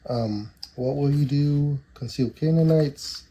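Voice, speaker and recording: A man's voice: a little high, slow, mellow. A man in his thirties with a stuffy, nasal voice from a cold, casually reading card names aloud and chatting while opening card packs. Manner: rambling, reads card names aloud between asides. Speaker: trading card collector opening booster boxes. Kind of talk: casual box-opening commentary. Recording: noisy with card shuffling and clicks.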